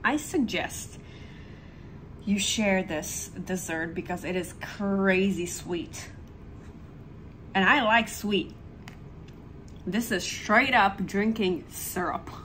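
A woman in her thirties chatting casually and haltingly to a handheld camera in a hotel room, as a travel vlogger. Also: mid-range voice, a bit bright, rough recording. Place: small indoor hotel room. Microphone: phone or camera at arm's length. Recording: noticeable background noise.

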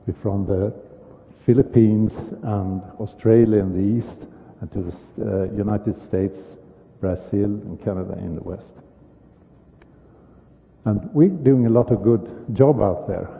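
Older swedish guy lecturing slowly on stage, gravelly low voice, long hall echo. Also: slight background noise. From Sweden. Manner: halting, with many fillers and restarts. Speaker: dentist giving a conference talk. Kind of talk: conference lecture. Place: large conference hall stage. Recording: dull sound with long hall reverb.

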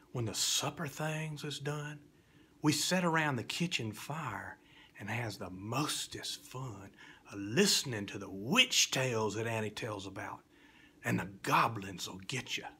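A middle-aged man reciting a children's poem like a spooky storyteller, swinging between hushed whispers and loud cries. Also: medium pitch, a little hiss or hum. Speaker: storyteller reading children's poetry. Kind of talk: dramatic theatrical poetry recitation for children.

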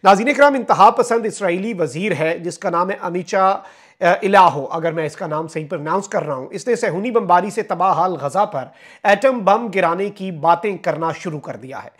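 A young man from Pakistan with a Pakistani Urdu accent, presenting online news commentary on a lapel mic in a small indoor studio. He reads the updates steadily and breaks off into asides to his viewers with filler pauses. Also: high voice, animated, fairly bright tone. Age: young adult.

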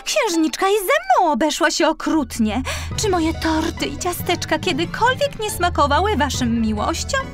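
A thin, very high voice of an aggrieved older pastry mistress as a woman narrator acts her out. She is timid and plaintive, in a children's fairy tale over soft music. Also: big pitch swings, moderate pace. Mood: hurt, near tears.

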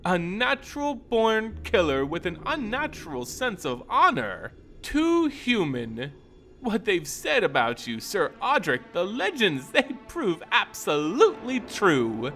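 A man voicing a gloating, cruel female vampire lord in a slow, theatrical fantasy story reading. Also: sits high, wildly swooping pitch, fairly bright tone, faint music behind, pitched above the performer's own voice, heavily acted. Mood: amused, sly. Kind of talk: dramatic fantasy audiobook character voice.

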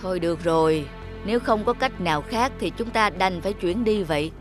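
An actor voicing a wise, gentle old male oak tree in a children's cartoon, calmly admonishing the others. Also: mid-range voice, fairly quick, natural voice. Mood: resigned, kind. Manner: calm, admonishing. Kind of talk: children's moral cartoon dubbing. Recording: loud music under the voice.

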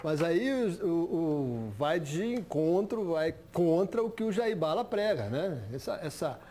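Chatty TV sports host, higher voice. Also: male voice, expressive, measured pace, mellow, slight background noise. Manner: conversational, frequent "né?" tags. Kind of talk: studio sports talk, reading viewer messages. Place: TV studio, standing.

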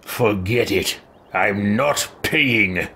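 A man in his thirties reading game dialogue choices in character, measured and deliberate. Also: lowish voice, creaky, bright, forceful tone, breathy edge. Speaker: video game streamer playing in character.

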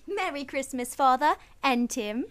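Woman as a high-voiced, fond young daughter. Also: lively intonation, measured pace. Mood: joyful. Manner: eager, fond. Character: affectionate young grown daughter. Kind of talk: radio play acting.

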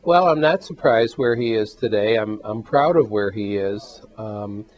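An older man, a heart failure physician, explaining things in a measured way in a hospital exam room. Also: fairly low pitch. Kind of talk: interview testimonial. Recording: slightly dull sound.

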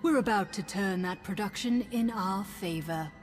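A ruthless Imperial admiral acted by a woman commands in a formal, sardonic manner at an ordinary female pitch. Loud music plays behind this sci-fi game cutscene. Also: normal speed, natural voice. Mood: rousing command. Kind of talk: video game cutscene acting.

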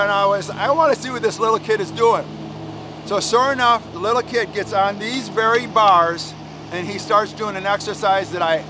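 A man's voice: sits high, background noise. A man in his forties, a fitness coach demonstrating a workout outdoors at a park playground, talking energetically with casual slang.

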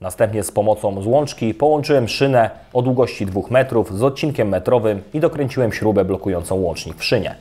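A young adult man giving a calm, scripted step-by-step voice-over for an electrical installation video. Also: on the low side, brisk, few pauses. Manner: calm, even, step-by-step instructional delivery. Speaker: electrician presenting a how-to video. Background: soft guitar music.

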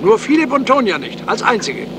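A man's voice: very high voice, sing-song, a little fast. An actor playing an inquisitive, pondering man in the style of a 1970s radio crime play. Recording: noisy motorboat ambience on the water.

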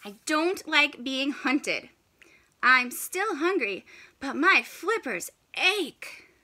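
A woman's voice: high-pitched, very animated, takes it slowly, bright, forceful tone, exaggerated voice. A woman voicing a grumpy young male penguin in a children's storybook, complaining in an exasperated, melodramatic way.

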